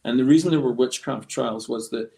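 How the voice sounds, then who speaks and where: A man with a creaky, gravelly voice at a middling pitch. He talks as an interview guest, circling through his stories in a thoughtful, halting and discursive manner. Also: warm tone. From Scotland. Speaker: guest comic artist. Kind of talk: conversational storytelling in a podcast interview.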